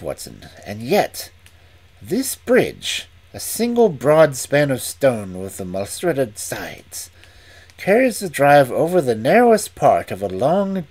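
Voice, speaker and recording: A reader voicing a calm, incisive Victorian detective who reasons aloud in a measured, deliberate way. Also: medium pitch, breathy edge, full character voice. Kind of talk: audiobook reading.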